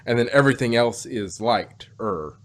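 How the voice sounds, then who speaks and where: A man's voice: mid-range voice, animated, moderate pace, fairly bright tone. A man chatting casually as a podcast host, hesitant and often repeating and rephrasing himself as he goes. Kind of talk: casual chat.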